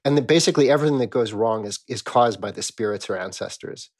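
A man speaking as an academic expert in a conversational scholarly interview, explaining in an animated way with rhetorical questions. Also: lowish voice, fast talker.

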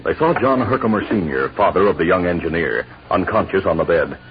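A man narrating a 1950s radio western in measured, formal storytelling style. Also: low voice, expressive. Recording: dull old radio recording, music behind.

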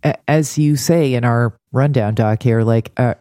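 A man's voice: mid-range voice, moderate pace, dark tone, dry recording, studio quality. A man co-hosting a casual recap discussion in a wry, critical way. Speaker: podcast co-host.

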